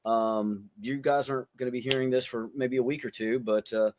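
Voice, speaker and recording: A man's voice: mid-range voice, steady tone, moderate pace, a little mellow, slight background noise. A man co-hosting a podcast in loose, casual chat, blunt and joking with some swearing. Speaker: podcast co-host. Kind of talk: casual chat among friends.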